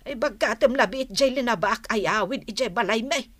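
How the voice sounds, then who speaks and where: Wheedling, exclaiming mother-in-law for radio comedy: bright, forceful, highish voice, dry sound. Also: normal speed, dry recording. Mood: chatty, wheedling. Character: bossy, wheedling mother-in-law piling chores on a man. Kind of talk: Philippine comedy radio drama acting.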